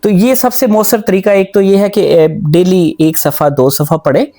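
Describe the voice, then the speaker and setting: A man hosting a conversational interview, who talks in an animated way and asks probing questions. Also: sits high, normal speed. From Pakistan. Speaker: host and English teacher.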